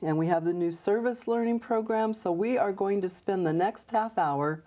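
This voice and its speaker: A woman hosting a community TV interview show, speaking in a measured way with frequent ums. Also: mid-range voice, warm tone. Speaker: host, city mayor. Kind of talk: local TV interview. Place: TV studio.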